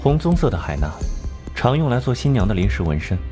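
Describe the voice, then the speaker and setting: Actor as aloof expert with animated inflection. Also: male voice, medium pitch, quick pace, over music, natural voice. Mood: cool, explanatory. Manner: cool, sardonic, articulate. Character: aloof, sharp-tongued male genius forensic consultant. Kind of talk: Chinese animated crime drama acting.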